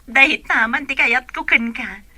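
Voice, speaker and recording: High, bright, exuberant Ilocano party-loving friend. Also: female voice, unhurried, no echo, heavily acted. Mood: excited, coaxing. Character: Rika, outgoing young best friend who loves nightlife. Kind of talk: Ilocano radio drama acting.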